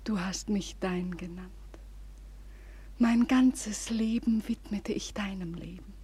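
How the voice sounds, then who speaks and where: Desperate young lover for classical radio tragedy: slow, intense delivery, extremely breathy, often sinking toward a whisper. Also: female voice, mid-range voice, warm tone. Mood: despairing, devoted. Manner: slow, halting, intense declamation. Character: desperate, devoted young woman, lover of a condemned hero. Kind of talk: classical German radio drama monologue.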